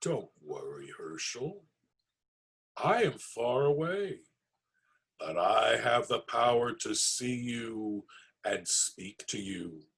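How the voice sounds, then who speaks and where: Man putting on a slow, menacing goblin king. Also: medium pitch, sing-song, gravelly, a bit bright, breathy edge, heavily acted. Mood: quietly menacing. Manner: slow, drawn-out, ominous. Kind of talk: storybook read-aloud villain.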